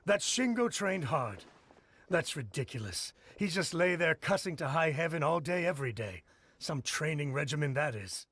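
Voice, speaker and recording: A man's voice: on the high side, fairly bright tone, husky. A man playing a plain-spoken, disparaging villager in a video game, matter-of-fact in his delivery. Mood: mocking.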